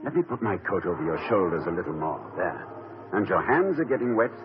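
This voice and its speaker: A whimsical, chuckling daydreamer. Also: male voice, sits low, sing-song, a little fast, highs cut off, noisy background. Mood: tender. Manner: genteel, playful, chuckling. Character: whimsical, tender male college president, a gentle daydreamer. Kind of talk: 1950s radio drama acting. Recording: old radio broadcast recording with music.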